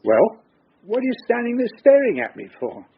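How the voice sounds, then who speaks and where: An actor playing a fond, fussing older father in a radio drama, with a creaky voice. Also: very high-pitched, wildly swooping pitch, moderate pace, exaggerated voice. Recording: tinny band-limited sound, faint noise.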